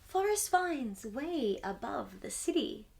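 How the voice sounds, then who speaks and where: A woman hosting a storytime, reading a picture book aloud to young children in an expressive, wonder-filled way. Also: sits high, slow, vocal fry, background noise. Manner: wide-eyed wonder, exclamations and gasps between passages.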